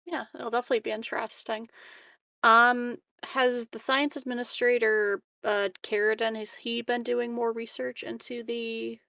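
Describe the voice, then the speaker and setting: Woman player with a creaky voice. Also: fairly high pitch, level tone, moderate pace, pushed and bright, no room sound, clean studio sound. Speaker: tabletop game player. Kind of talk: casual in-character chat in a tabletop roleplaying game.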